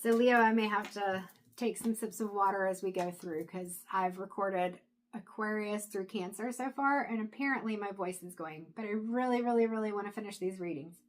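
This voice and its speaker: A woman giving a casual intuitive tarot reading to camera, chatty and thinking aloud as she goes, her voice going hoarse and breaking into throat clears. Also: medium pitch, moderate pace, low-quality recording. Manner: chatty, thinks aloud, frequent fillers and restarts. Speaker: tarot reader.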